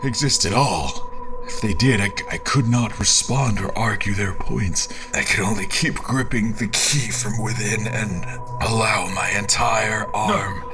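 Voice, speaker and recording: A low-voiced actor playing a tormented man narrates a dark fantasy audio drama. He speaks slowly and theatrically, brooding and halting, as if recounting agony. Loud music runs beneath him. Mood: pained, brooding. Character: tormented, vengeful man recounting his agonising ordeal. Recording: loud music bed under the voice.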